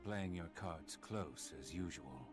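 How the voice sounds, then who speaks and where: A coolly formal villain strategist from a video game. The voice is very low and the delivery is measured and stoic. Music is clearly heard underneath. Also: male voice, expressive. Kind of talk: dramatic video game cutscene acting.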